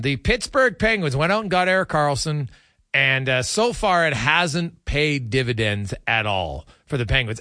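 Bright, forceful man hosting sports radio. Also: a little high, no room sound, studio quality. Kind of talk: casual sports talk.

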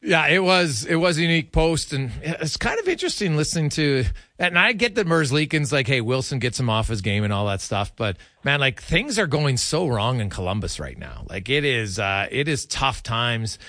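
A man's voice: mid-range voice, big pitch swings, normal speed, bright, forceful tone, dry recording, studio quality. A man hosting a casual, conversational sports radio interview, freely offering his opinions.

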